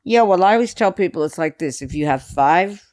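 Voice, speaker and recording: A woman from New York talks as a podcast guest, with a deep voice that some listeners mistake for a man's. She tells her stories in a plain, matter-of-fact way. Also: lively intonation, fairly quick, darkish tone, dry recording, clean studio sound. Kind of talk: casual interview.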